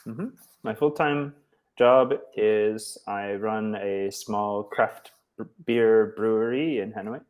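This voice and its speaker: A man from the United States, tutoring English over a video call in a relaxed, encouraging way with many short affirmations. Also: lowish voice, takes it slowly. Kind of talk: casual tutoring chat.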